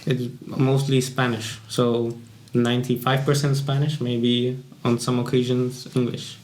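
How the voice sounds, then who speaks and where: A teenage boy, a student guest, chatting casually and quickly with many fillers. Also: mid-range voice, flat delivery, a little mellow. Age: late teens. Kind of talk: casual chat. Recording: echoey room with faint noise.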